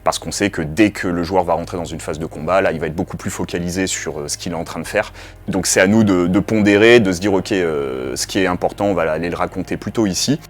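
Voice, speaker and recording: Deep-voiced man in conversational interview. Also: fast. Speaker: game developer. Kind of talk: explanatory interview.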